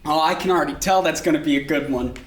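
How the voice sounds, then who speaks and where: A woman's voice: sits low, quick pace, lightly acted. A woman playing an overworked, short-tempered father, blunt and commanding, in a staged play reading. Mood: fond. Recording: echoey room.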